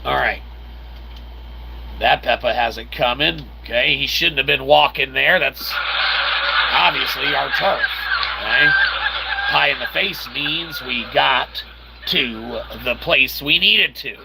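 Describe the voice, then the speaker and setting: A man giving casual video game commentary in a playfully cocky manner, talking as "we", with a gravelly voice. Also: mid-range voice, takes it slowly, background noise. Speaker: gameplay host.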